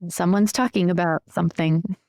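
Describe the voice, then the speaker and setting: A woman's voice: on the low side, fairly even intonation, normal speed, darkish tone, dry recording, clean studio sound. A woman playing a tabletop roleplaying game, casually speaking in character and asking questions.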